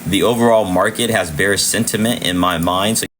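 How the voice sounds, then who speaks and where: A man's voice: low-pitched, a little fast, a little hiss or hum. A man hosting a live trading stream with casual chart commentary, chatty and repetitive, thanking viewers as he goes, his voice wearing out. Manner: chatty, shouting out viewers, repeats himself. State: losing his voice. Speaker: livestream trading host.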